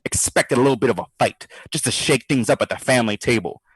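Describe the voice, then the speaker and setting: An actor playing a brash, sardonic skeptic who talks in slangy, theatrical quips in an audio drama diary. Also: male voice, medium pitch, animated, fast talker, husky, no echo, poor audio. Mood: bored, sardonic. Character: brash wisecracking skeptical man, boozy ghost-study participant.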